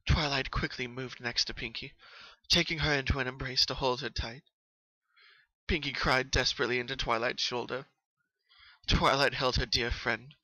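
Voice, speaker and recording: A man's voice: medium pitch, bright, forceful tone, no echo, low-quality recording. A man reading scripted story narration as a dramatic narrator.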